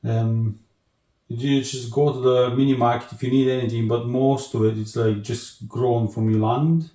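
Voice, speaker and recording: A man from Bulgaria with a Bulgarian accent, chatting as an interview guest in a halting way with restarts and many fillers. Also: medium pitch, steady tone, warm tone, roomy sound, rough recording. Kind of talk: casual interview chat.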